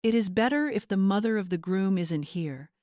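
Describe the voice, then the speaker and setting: A regal older matriarch, played by a woman narrator, speaking with calm command. Her delivery is measured and projecting, in a dry audio-story recording. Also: mid-range voice, no echo, natural voice. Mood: calm authority.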